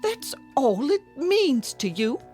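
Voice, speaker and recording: A woman's voice: high-pitched, very animated, slow, darkish tone, soft background music, heavily acted. An actress playing an older mother, anxious and reproachful, pleading and scolding properly in a radio drama. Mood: indignant. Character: anxious, reproachful elderly mother of a grown daughter. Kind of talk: dramatic radio-play acting.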